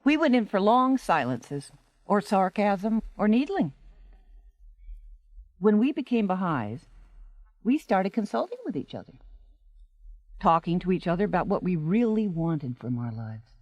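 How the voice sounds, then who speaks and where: An actress in a 1980s radio drama playing a seasoned stage actress, a frank and supportive friend with an emphatic manner. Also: female voice, on the low side, big pitch swings, measured pace, long pauses, a little mellow, dry recording.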